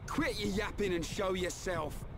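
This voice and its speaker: Brash, high-voiced young British fighter. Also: male voice, a little slow, background noise. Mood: fired up. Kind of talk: video game voice acting.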